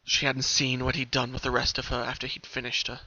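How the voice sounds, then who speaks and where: A man's voice: medium pitch, monotone, brisk, pushed and bright. A man narrating a story as a dramatic reading. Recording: dull, lo-fi home recording.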